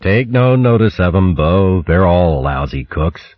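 A man's voice: sits low, lively intonation, unhurried, no echo, muffled recording. A man voicing a cheerful male sailor mouse who talks in salty seaman's slang. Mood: grumbling. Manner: salty sailor talk, "mate", "aye".

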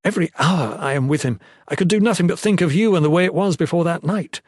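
A man's voice: mid-range voice, brisk, no room sound, studio quality, close to an everyday voice. A man voicing a distressed, contrite young male protector who pleads in formal, courtly wording for a storybook. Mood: desperate begging.

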